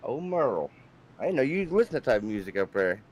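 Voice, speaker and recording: A man's voice: mid-range voice, sing-song, fast, darkish tone, slightly rough, low-quality recording. A man doing color commentary on a sim race broadcast, talking in a folksy, teasing, joking way. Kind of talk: casual race broadcast banter.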